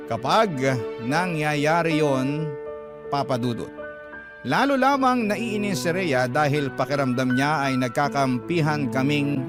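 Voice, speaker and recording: A man telling a first-person story as a radio drama narrator, in measured phrases with pauses. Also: medium pitch. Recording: music bed under the voice. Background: prominent background music.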